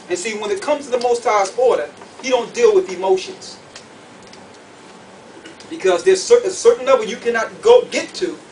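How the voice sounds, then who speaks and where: A man preaching and teaching scripture verse by verse in an emphatic, didactic way, often checking that listeners follow. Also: sits high. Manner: emphatic, didactic, checks understanding with short questions. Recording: distant mic, faint background noise.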